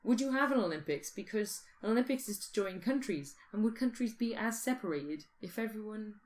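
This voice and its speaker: A woman's voice: medium pitch, expressive, a little fast. A woman podcast co-host in casual speculative discussion, thinking aloud and often posing questions.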